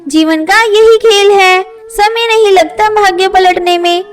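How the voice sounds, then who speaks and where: Very high-pitched woman storyteller. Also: steady tone, a little slow. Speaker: narrator. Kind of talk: scripted children's story narration. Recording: faint music under voice. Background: faint music.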